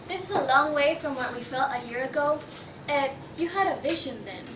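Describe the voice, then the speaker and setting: A woman's voice: high-pitched, moderate pace, far from the mic, natural voice. A woman playing an earnest, idealistic journal worker, reading in a declamatory way at a table read of a stage play. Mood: wistful, reproachful. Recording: echoey room from afar, clear noise.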